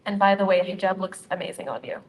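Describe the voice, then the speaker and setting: A woman's voice: medium pitch, fast. A young woman from Utah, a nonprofit co-founder, giving a friendly, upbeat prepared speech to a community gathering. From Utah, United States. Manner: upbeat, friendly, addresses the crowd directly. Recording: reverberant hall, faint ambient noise.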